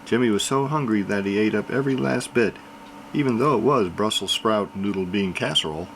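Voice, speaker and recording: A man reading a children's picture book aloud in an expressive storytelling manner. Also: on the low side, brisk, a little hiss or hum, rough recording. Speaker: reader.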